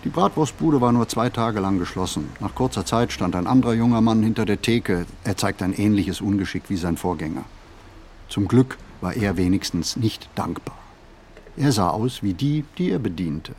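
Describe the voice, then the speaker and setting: An actor playing a pensive, anxious man who muses on everyday details in a measured radio play monologue. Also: fairly low pitch, expressive, darkish tone, a little hiss or hum. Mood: wry, musing. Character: pensive, anxious male city flaneur musing on everyday details.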